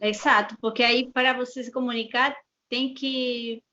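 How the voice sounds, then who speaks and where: A woman from Peru, a native Spanish speaker with a heavy Peruvian accent, chatting as an interview guest in an unhurried way, restarting and repeating words. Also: on the high side, flat delivery, bright, forceful tone. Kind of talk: casual interview chat. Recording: dull lo-fi sound.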